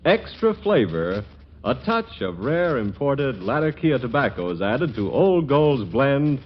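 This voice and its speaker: A man's voice: medium pitch, big pitch swings, measured pace. A man reading scripted commercial copy as a 1940s radio announcer, on a dull old recording.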